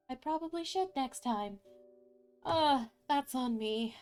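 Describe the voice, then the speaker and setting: A woman with a fairly high voice and very animated intonation hosts a storytelling stream. She is informal and candid, and reads with plenty of expression over soft music. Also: slow pace, smooth. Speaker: streamer reading fairy tales aloud. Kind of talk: casual livestream chat and storybook narration.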